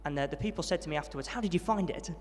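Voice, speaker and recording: A young man recounts his upbringing at a brisk pace and a slightly raised pitch. He is friendly and self-deprecating, with plenty of ums. His voice rings with the long reverb of a large hall. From Yorkshire, England. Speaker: interviewee, trainee vicar. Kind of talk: testimony interview at a student event.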